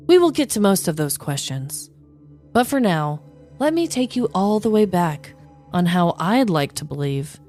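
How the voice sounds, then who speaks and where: A woman's voice: medium pitch, a little mellow. A woman podcast host telling scripted true-crime stories with dramatic, tongue-in-cheek delivery, like a comedic narrator.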